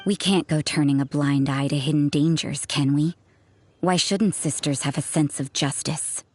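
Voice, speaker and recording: A woman's voice: deep voice, steady tone, moderate pace, very noisy, lightly acted. A woman playing a cool, sardonic, suspicious church sister in a video game, with a dry, cynical, interrogating manner. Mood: cool, aloof.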